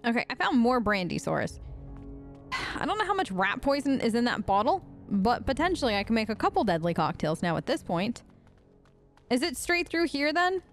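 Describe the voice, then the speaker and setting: A woman's voice: a little high, big pitch swings, normal speed, soft background music. A woman doing casual, improvised role-play commentary on a game, flippant and chatty, joking in character. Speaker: let's play host.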